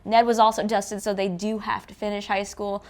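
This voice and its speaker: A woman co-hosting a film review in casual chat, expressive and playful. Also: medium pitch, moderate pace. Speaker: co-host of a film review show.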